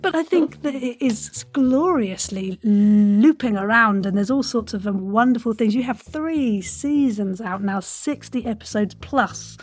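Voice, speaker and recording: A woman's voice: a little high, a little slow, few pauses, husky. A woman hosting a chatty podcast, speaking in an effusive, meandering way. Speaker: podcast host and audio drama voice actor.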